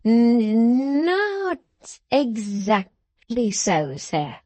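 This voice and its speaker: A fawning, self-satisfied old man who bows and scrapes with every sentence, as a woman puts him on. His voice is dry and close, and it dips low when he adds a deferential word. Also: very animated, drawn-out words, warm tone, dry recording, full character voice. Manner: fawning and deferential, clipped "sir" endings. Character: obsequious, self-important older man with a wooden leg.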